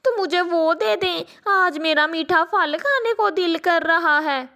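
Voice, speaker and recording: A woman's voice: very high-pitched, slow pace, sharp tone, pitched above the performer's own voice, heavily acted. A woman voicing a cunning little male crow chick who whines and pleads for food. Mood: whiny. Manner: whiny, pleading.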